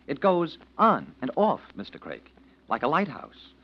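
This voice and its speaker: A man's voice: on the high side, wildly swooping pitch, unhurried, heavily acted. An American actor playing a sarcastic, articulate male boarder with florid mock-polite speech, in mid-century radio drama style. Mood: sardonic complaining. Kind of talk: 1940s-50s American radio drama acting. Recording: dull vintage radio recording.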